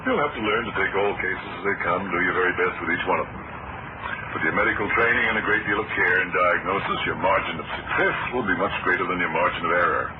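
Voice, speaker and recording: An actor playing a grave, middle-aged senior surgeon in an old-time radio drama, measured, fatherly and authoritative. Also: low voice, lightly acted. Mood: resigned, reassuring. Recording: dull, very noisy old radio recording.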